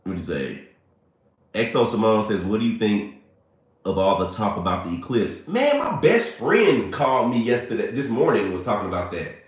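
A man, chatting playfully with viewers on a livestream, a little hoarse and congested. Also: lowish voice, big pitch swings, normal speed. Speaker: livestream host. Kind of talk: casual livestream chat with viewers. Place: small bright room indoors. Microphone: phone at arm's length. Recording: very echoey room.

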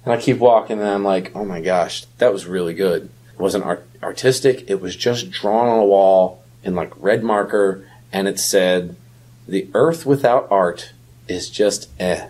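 Male podcast co-host, low-pitched and husky, conversational delivery. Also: measured pace, a little mellow. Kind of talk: casual chat.

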